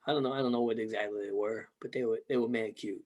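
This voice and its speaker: A man co-hosting a podcast in casual chat, hoarse from losing his voice, joking loosely and swearing freely. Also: fairly low pitch, steady tone, quick pace, darkish tone. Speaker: podcast co-host.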